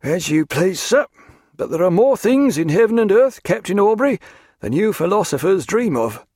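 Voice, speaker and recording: A man performs a superstitious old-time sailor for an audiobook. He is dogged and foreboding but respectful, speaking in emphatic nautical phrases. His voice is raised and very animated, in a dry recording. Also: a little high, moderate pace, creaky, dry recording, pitched above the performer's own voice, heavily acted. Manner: emphatic, old-fashioned nautical speech with proverbs. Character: dogged, superstitious, gloomy older sailor, deferential to his captain.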